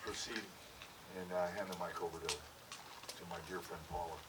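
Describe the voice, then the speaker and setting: A man's voice: sits low, normal speed, very noisy. A man in his sixties, born in Hawaii, giving a casual, joking memorial speech outdoors on a handheld mic, with many fillers and restarts. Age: 60s. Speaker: widower hosting a memorial gathering. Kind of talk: casual heartfelt speech to a crowd. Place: outdoor backyard gathering. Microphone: handheld microphone. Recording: distant mic with crowd around.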